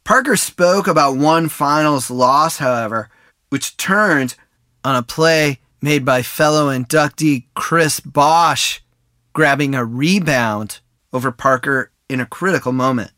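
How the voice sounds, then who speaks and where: A man hosting a sports podcast, reading scripted narration with a smooth, even delivery. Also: a little high, unhurried, no echo, studio quality. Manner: smooth read-aloud delivery. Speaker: podcast host.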